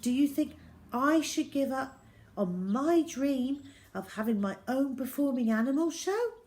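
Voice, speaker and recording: A plucky young daughter in a children's story , played by a woman narrator. She speaks earnestly and insistently in a lifted pitch, determined to be heard. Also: expressive, a little slow, a little mellow, a little hiss or hum. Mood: encouraging.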